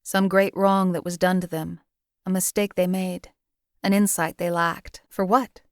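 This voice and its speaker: A woman voicing a cold, emotionless wasteland assassin for a video game, with a detached, deadpan, formal and articulate delivery. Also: on the low side, measured pace, no echo, clean studio sound. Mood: dismissive, reflective. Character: cold, emotionless female assassin for hire in a post-apocalyptic wasteland. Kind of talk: scripted video game character dialogue.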